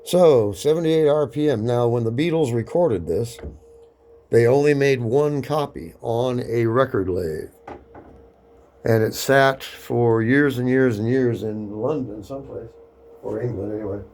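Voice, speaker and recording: A man giving a casual, matter-of-fact hands-on demonstration, narrating each step aloud in an unhurried way. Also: medium pitch, a little mellow. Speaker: record cutting demonstrator. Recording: clear background noise.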